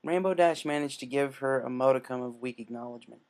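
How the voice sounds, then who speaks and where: A man's voice: medium pitch, fast, a little mellow. A man casually introducing and narrating fan fiction as its reader.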